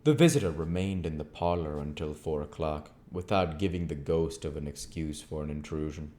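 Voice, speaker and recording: A man's voice: rumbling low, normal speed, a little mellow. A man narrating a classic novel aloud as an audiobook reader. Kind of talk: storybook narration, read aloud.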